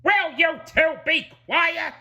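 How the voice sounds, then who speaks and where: A man's voice: extremely high pitch, slow, creaky, pushed and bright, voice pushed up, full character voice. A man voicing a grumpy, snooty cartoon neighbour with a drawling, irritated manner. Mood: annoyed. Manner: drawling, snarling.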